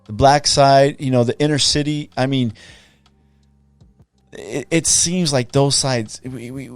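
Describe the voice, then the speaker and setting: A man hosting a podcast interview, talking casually in a halting way, with many fillers and repeated words. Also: medium pitch, no room sound. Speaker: podcast host.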